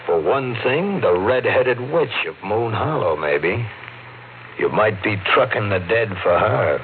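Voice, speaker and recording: A man's voice: on the low side, animated, measured pace, background noise. An actor playing a blunt, knowing local working man in a 1950s radio drama, with an ominous manner. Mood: ominous, accusing. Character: blunt, knowing country depot man and diner owner. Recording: tinny old radio broadcast, music behind.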